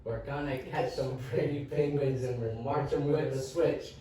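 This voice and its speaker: A man playing a gruff, menacing penguin hunter with a growly voice in a children's readers' theater story. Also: medium pitch, steady tone, normal speed, warm tone, close to an everyday voice. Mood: menacing chant. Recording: roomy, distant mic with faint noise.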